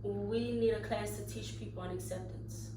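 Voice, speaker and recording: Thirty-year-old woman, slow and thoughtful. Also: medium pitch, steady tone. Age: 30s. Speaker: podcast co-host. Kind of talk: casual chat. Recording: echoey room, mic at a distance, faint hum.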